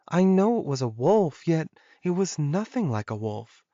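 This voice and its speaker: A male narrator plays a young woman in a paranormal romance audiobook. The pitch is lifted well above his own. She sounds curious, uneasy and quick to apologize, and the recording is close and dry. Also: sing-song, dark tone, no echo, muffled recording. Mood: anxious, curious.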